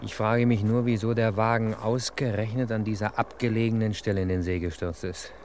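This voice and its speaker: A man's voice: on the low side, little pitch movement, fairly quick, darkish tone, slight background noise, close to an everyday voice. A man playing an eager, talkative young police assistant in a 1950s radio play.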